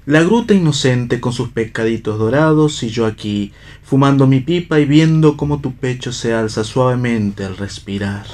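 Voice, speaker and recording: A man's voice: mid-range voice, normal speed, a little mellow, close to an everyday voice. A man acting a disillusioned, ironic husband in a radio play, speaking in a literary, argumentative way. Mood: wry, dreamy. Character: cynical, pipe-smoking husband, disillusioned and philosophical unbeliever. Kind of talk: staged radio play reading.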